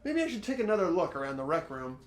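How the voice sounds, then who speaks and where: A man's voice: on the high side, very animated, fast talker. An amateur actor voicing an earnest teenage boy protagonist in a visual novel game, thinking aloud as he investigates. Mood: curious, concerned. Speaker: host of the playthrough. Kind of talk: fan-dubbed visual novel game narration.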